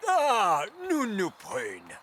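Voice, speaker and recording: A man's voice: high-pitched, drawn-out words, slight background noise, full character voice. A man voicing a wise old male elf miller in a children's cartoon, explaining things expressively like a patient teacher. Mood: welcoming. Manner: didactic, exclamatory, expressive. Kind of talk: children's cartoon dub acting. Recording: music behind the voice.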